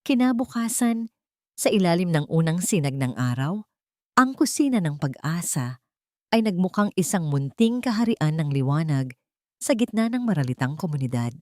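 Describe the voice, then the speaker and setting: Female narrator, low register, earnest dramatic romance storytelling, dry studio-like sound with little room. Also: very animated, moderate pace, mellow, no room sound. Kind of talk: dramatic scripted narration of a Tagalog love story.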